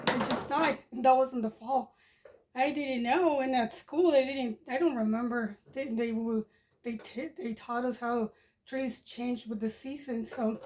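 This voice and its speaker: A woman's voice: fairly high pitch, background noise. Mexican-accented woman chatting while washing dishes. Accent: Mexican Spanish. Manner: rambling, restarts sentences, chuckles often. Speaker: homestead vlogger. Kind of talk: casual chat while doing chores. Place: home kitchen by a wood stove. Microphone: phone camera propped nearby.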